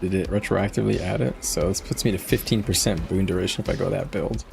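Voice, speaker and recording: A man's voice: sits low, steady tone, quick pace, creaky. A male streamer giving live gameplay commentary, frustrated and swearing as he thinks aloud. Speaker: game streamer.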